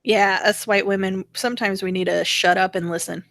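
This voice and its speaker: An American woman co-hosts a casual political chat with an irreverent, opinionated manner. Her pitch sits in the middle for a woman, and the sound is dry, with very little room in it. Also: steady tone, a little fast, creaky, fairly bright tone, dry recording. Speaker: podcast co-host.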